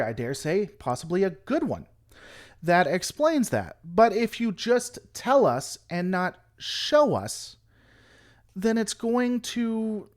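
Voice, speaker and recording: A man hosting a casual livestream, rambling through an opinionated critique and answering chat. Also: high voice. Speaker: livestream host.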